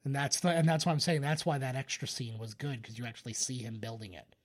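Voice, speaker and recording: A man's voice: medium pitch, fairly even intonation, quick pace. A man chatting casually as a podcast co-host, explaining movie trivia in loose, sweary talk. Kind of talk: casual movie commentary chat.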